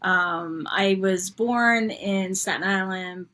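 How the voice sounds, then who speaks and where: A middle-aged woman from New York, a podcast guest chatting casually and warmly, rambling a bit and laughing often. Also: mid-range voice, slow pace. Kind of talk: casual interview chat.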